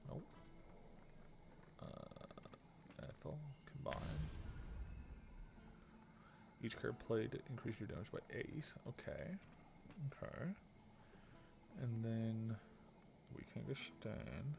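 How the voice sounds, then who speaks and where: A man's voice: expressive, very slow, gravelly, long pauses, noisy background. A man in his thirties streaming a game, muttering casually as he thinks aloud and reads out text. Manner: low-key muttering, reading card text aloud. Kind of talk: casual let's play commentary, thinking aloud.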